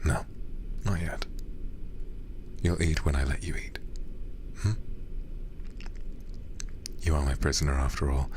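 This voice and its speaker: A man playing a teasing, menacing demon lord in an ASMR roleplay, speaking slowly and mockingly, often dropping into whispers. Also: a bass voice, fairly even intonation, noisy background. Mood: stern, commanding. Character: sinister, teasing demon lord interrogating a prisoner.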